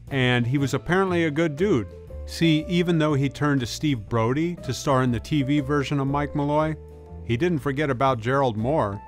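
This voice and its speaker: A man reading scripted documentary narration in an informal, folksy way. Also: medium pitch. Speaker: video essayist, narrator. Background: music bed with guitar.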